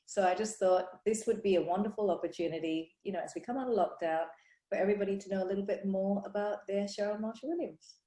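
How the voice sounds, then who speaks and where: A woman's voice: mid-range voice, fast talker, warm tone. A woman hosting an interview with her colleague, effusive and upbeat, often padding her lines with fillers. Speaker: clinic owner, interviewer. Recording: echoey room.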